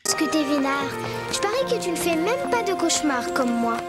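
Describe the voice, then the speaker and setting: A woman's voice: high voice, big pitch swings, fairly quick. A woman playing a curious, teasing little sister in a dubbed cartoon. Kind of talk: dubbed 1990s cartoon acting. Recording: loud music behind cartoon soundtrack.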